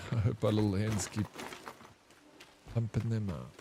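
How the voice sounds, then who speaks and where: A young man casually commenting on his gameplay as a streamer, with a flat, monotone delivery. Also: fairly low pitch, measured pace, noisy background.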